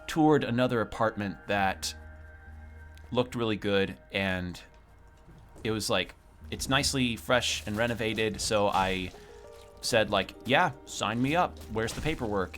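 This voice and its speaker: A man's voice: lowish voice, a bit bright. A young man chatting casually on a livestream as he plays a game at home, sounding slightly sniffly. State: slightly sniffly from a cold room. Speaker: game streamer. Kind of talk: casual livestream chat over gameplay. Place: own room at home. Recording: music playing behind the voice.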